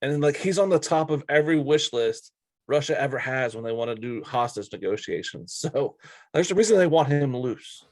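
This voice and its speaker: Man, sports talk co-host, average pitch, casual, opinionated, informal, dry studio sound. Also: lively intonation, fairly quick, darkish tone, no room sound.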